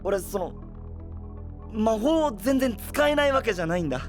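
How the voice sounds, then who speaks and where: An actor playing an earnest, self-doubting young knight in an anime voice drama, with hesitant, boyish, casual speech. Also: male voice, high voice, expressive, moderate pace, faint music behind. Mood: flustered. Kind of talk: Japanese anime voice drama acting.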